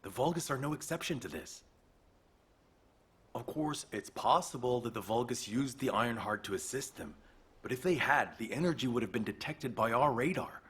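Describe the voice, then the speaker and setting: An actor playing a skeptical, practical military engineer in a video game, speaking in a matter-of-fact, argumentative way. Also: male voice, mid-range voice, expressive, moderate pace, fairly bright tone, husky. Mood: skeptical, exasperated.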